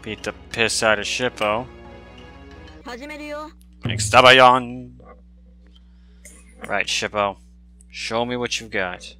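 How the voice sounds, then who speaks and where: A male gaming streamer with a bright, forward voice at an average pitch, chatting casually through a playthrough. He jokes and swears in loose slangy banter, with game music playing behind him. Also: fairly even intonation, takes it slowly, long pauses. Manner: joking, profane, slangy banter.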